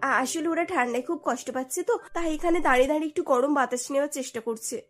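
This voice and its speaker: A woman's voice: high voice, rapid-fire, lightly acted. A woman voicing a poor young housemaid and mother in a cartoon, anxious and deferential, with a pleading, apologetic manner. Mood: flustered pleading.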